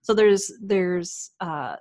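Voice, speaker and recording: Woman, very low voice, close dry sound, earnest, reflective, conversational interview guest. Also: expressive, extremely slow, a little mellow, dry recording. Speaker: podcast guest, writer and podcaster.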